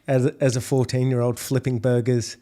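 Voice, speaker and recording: A middle-aged Australian man with an Australian accent, answering podcast questions in a measured way and often restarting on repeated words. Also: medium pitch, flat delivery, mellow. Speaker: podcast guest, food delivery executive. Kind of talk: business interview talk.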